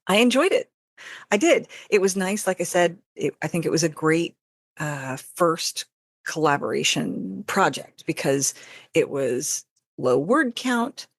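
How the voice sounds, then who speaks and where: A woman's voice: deep voice, very animated, a little slow, no echo, clean studio sound. A woman guest on a podcast, chatting casually and reflectively about her experience.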